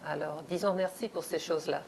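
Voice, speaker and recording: A woman hosting a dinner-table interview in a home, speaking slowly and carefully as she searches for words. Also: low voice, steady tone, darkish tone, a little hiss or hum, low-quality recording. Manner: slow and measured, searching for words. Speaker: host. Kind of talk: hosted interview.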